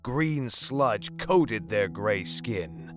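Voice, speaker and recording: A male narrator reads a grim horror tale with dramatic, scripted delivery. His voice is deep, and music plays softly underneath. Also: animated, drawn-out words.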